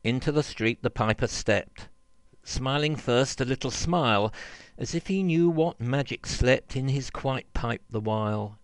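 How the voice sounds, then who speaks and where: A man reading a children's verse story aloud in an expressive, theatrical and rhythmic way. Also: mid-range voice, unhurried, dry recording. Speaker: reader, storyteller.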